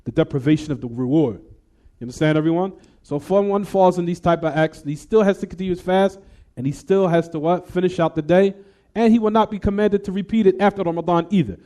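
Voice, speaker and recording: A man's voice: sits high, fast, mellow. A male religious teacher explaining a text to a class in casual, conversational English mixed with Arabic terms, often asking the class "what?" and checking that everyone follows. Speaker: Islamic teacher.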